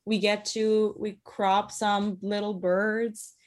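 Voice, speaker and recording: A woman's voice: mid-range voice, flat delivery, takes it slowly. A woman from Iran, a theatre artist talking in an interview, hesitant, with many fillers and restarts. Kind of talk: casual interview talk.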